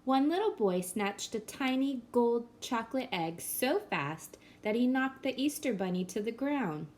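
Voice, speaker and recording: Slow-reading woman storyteller. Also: on the high side, expressive, a little mellow. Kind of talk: reading a picture book aloud.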